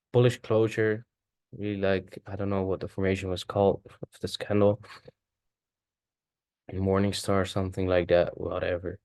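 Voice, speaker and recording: A man whose first language is Dutch, casually thinking aloud through a chart walkthrough, halting and correcting himself as he goes. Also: lowish voice, a little mellow, smooth, dry recording. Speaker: forex trader.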